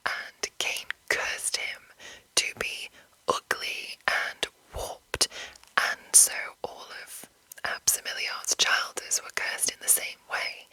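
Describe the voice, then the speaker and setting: A woman whispering an informal, rambling ASMR explainer as the host, in a breathy, unvoiced voice. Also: bright, forceful tone, dry recording, low-quality recording. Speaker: host of ASMR video series on roleplaying games.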